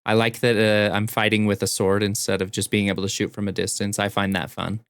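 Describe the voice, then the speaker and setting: Enthusiastic man with a lowish, dry voice. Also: level tone, fairly quick, smooth, no room sound. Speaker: podcast co-host. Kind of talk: casual chat about video games.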